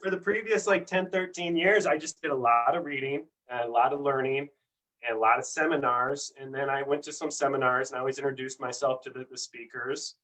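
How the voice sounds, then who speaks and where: A man's voice: medium pitch, brisk, bright, forceful tone, far from the mic, poor audio. A man telling his own story as a guest in casual chat, earnest and rambling, stumbling over repeated words.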